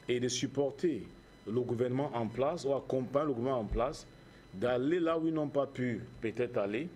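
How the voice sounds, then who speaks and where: A man with a Cameroonian accent giving earnest interview answers as a charity founder, in measured, preacher-like phrases with repetition. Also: medium pitch, darkish tone, a little hiss or hum.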